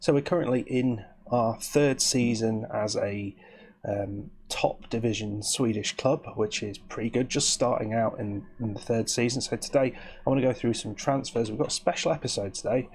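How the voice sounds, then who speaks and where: A man, croaky and sniffly with hay fever, giving rambling, chatty commentary on his game as host. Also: mid-range voice, normal speed, a little mellow. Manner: rambling, chatty, with frequent restarts. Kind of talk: casual gameplay commentary.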